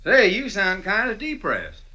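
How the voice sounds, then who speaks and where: A man's voice: sits high, wildly swooping pitch, a little slow, pushed and bright, full character voice. An actor playing a rich, hot-tempered, folksy Texas oilman with a drawl in old radio comedy. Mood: hearty. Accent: Texan. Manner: folksy drawl, exaggerated delivery. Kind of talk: 1940s American radio comedy acting. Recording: noisy old radio recording.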